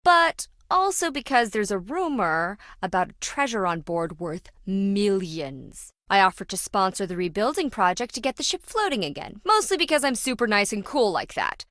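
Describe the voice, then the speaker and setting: A woman's voice: mid-range voice, sing-song, moderate pace, few pauses, fairly bright tone, no room sound, studio quality. A woman playing a brash, self-important treasure hunter in a video game, sarcastic and slangy, prone to flippant, dramatic complaining. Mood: resentful, emphatic. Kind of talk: video game character dialogue.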